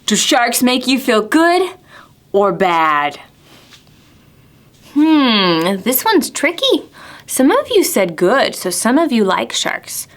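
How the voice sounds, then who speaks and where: A woman storytime presenter talks to very young children. Her voice is fairly high and highly animated, moving slowly through simple questions with deliberate emphasis on key words. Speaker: library storytime presenter for preschoolers.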